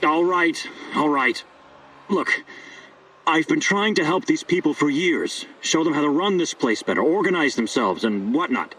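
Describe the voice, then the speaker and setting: A man's voice: on the high side, expressive, normal speed, a bit bright, breathy edge, far from the mic, low-quality recording. An actor playing a scheming, persuasive male doctor in a video game, earnest and wheedling with hesitant turns. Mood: coaxing. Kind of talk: video game dialogue acting.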